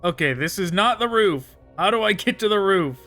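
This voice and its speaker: Male gaming streamer, high voice, informal amused musing, casual commentary over soft music. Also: expressive, moderate pace. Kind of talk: casual let's play commentary.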